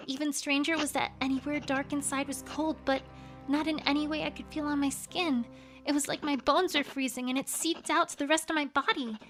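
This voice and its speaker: Woman playing a young unicorn pony, high voice, fretful and earnest, diary read aloud, music behind. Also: lightly acted. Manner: earnest, fretful first-person diary reading. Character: anxious young female unicorn scholar pony. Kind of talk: journal read aloud as a fan audiobook.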